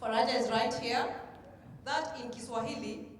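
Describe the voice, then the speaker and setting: A woman's voice: a little high, normal speed. Woman, distant and echoey. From East Africa, Kenya. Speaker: charity worker. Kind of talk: public speech. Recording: distant, echoey hall with noise.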